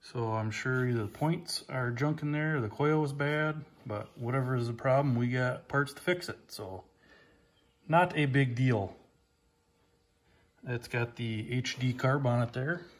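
A man's voice: mid-range voice, measured pace. A man giving a casual, rambling walkaround of an old snowmobile in his garage, filmed on a handheld phone and often repeating "like I say". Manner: plain-spoken, rambling, repeats "like I say". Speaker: hobbyist restoring an old snowmobile. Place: garage workshop. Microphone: handheld phone camera.

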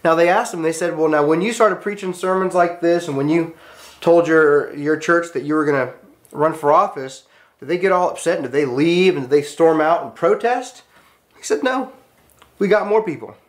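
Higher-pitched American man lecturing emphatically. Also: moderate pace. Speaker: teacher of a church class. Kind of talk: slideshow lecture with storytelling.

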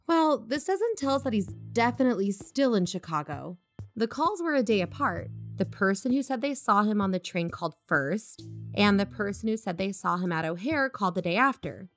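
A woman playing a careful, analytical investigative podcaster in an audio drama, reasoning in a measured way. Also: medium pitch, sing-song, faint music behind. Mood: measured, wary. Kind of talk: audio drama dialogue and narration.

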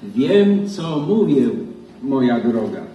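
Grumbling 1920s husband in his sixties played by a man, dark and unhurried, radio play from an echoey stage. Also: medium pitch, big pitch swings. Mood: dry grumbling. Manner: grumbling, drawn-out. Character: grumbling, henpecking-weary older 1920s husband. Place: large theatre stage. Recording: echoey stage, distant, faint noise.

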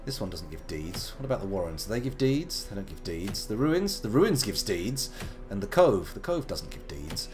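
A man in his thirties talks his way through a live game stream, his voice gravelly from a slight cold. He chats playfully with lively swings in pitch, drifting into jokey asides. Faint game music sits behind him. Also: normal speed, hardly any pauses. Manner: chatty, playful, wandering asides. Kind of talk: casual live game commentary.

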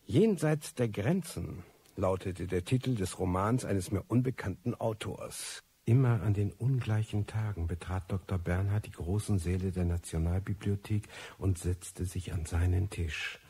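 An actor playing a disillusioned, reclusive manuscript reader, narrating a radio play in a brooding, ironic way with a husky, gravelly voice. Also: male voice, deep voice, unhurried, mellow, full character voice. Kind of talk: scripted first-person radio play narration.

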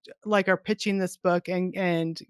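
A woman's voice: on the low side, steady tone, normal speed, smooth, dry recording, studio quality. A middle-aged woman hosting an author interview from her home office, encouraging and affirming as she talks. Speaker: podcast host. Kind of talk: casual interview chat. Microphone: headset with desk microphone, video call.

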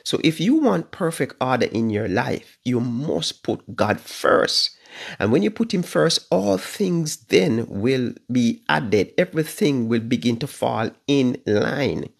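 Jamaican pastor, 50s, slow and fervent, husky low voice, livestream sermon from home. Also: male voice, expressive. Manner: fervent, repeats phrases, drawn-out stressed words. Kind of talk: livestream Bible study preaching. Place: living room at home, indoors. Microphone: phone camera at arm's length.

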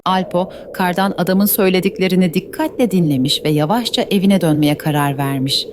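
Lowish female storyteller over faint noise. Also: brisk. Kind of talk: scripted children's story narration.

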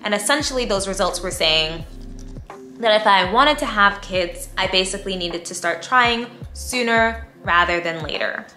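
A woman, chatting in a bubbly, talkative way as she vlogs to camera in a bright room at home. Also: medium pitch, animated, moderate pace, echoey room, soft background music. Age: 30s. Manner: bubbly, chatty, talks with her hands. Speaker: lifestyle vlogger. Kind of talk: casual talk to camera.

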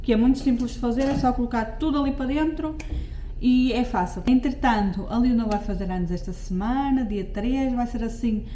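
A woman's voice: fairly high pitch, a little fast, mellow, slight background noise. Chatty Portuguese woman in an echoey kitchen. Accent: European Portuguese. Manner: chatty, talks to viewers while working, often corrects herself. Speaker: lifestyle vlogger. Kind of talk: casual cooking vlog chat. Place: home kitchen.

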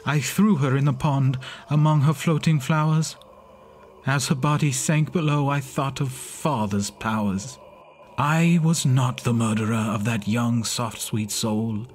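An actor playing Frankenstein's creature, an anguished, poetic monster, in a solemn, measured horror audio drama monologue. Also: male voice, mid-range voice, slight background noise, close to an everyday voice. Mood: anguished, fearful, then bitter, brooding. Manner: solemn, measured, theatrical delivery.

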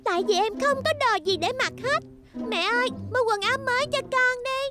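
A woman's voice: extremely high pitch, animated, a little fast, loud music behind the voice. A woman voicing a whiny, sensitive, bashful little girl in a childish cartoon dub voice. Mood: whiny, annoyed.

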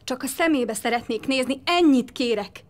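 An actress playing a grieving widow in an audio drama, pleading and emotional. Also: female voice, sits high, lightly acted. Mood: hesitant, pleading. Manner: soft-spoken, pleading, emotional.

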